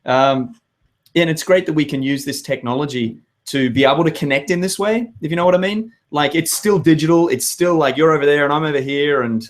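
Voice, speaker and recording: Hoarse, chatty Australian man. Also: a little high, fairly quick. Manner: chatty, upbeat, rambling with restarts. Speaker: live web show host. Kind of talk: live webinar chat to viewers.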